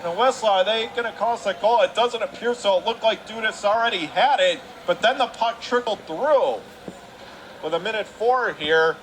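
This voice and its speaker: High-pitched male hockey play-by-play commentator, noisy arena. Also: moderate pace, a bit bright. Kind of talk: live radio sports commentary. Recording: clear arena noise behind the voice.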